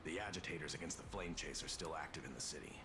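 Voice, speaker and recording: A man playing a dutiful, gruff city guard in a video game, delivering a clipped, formal military report. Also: extremely low pitch, fast talker, background noise. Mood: businesslike, grave. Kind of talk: dramatic video game voice acting.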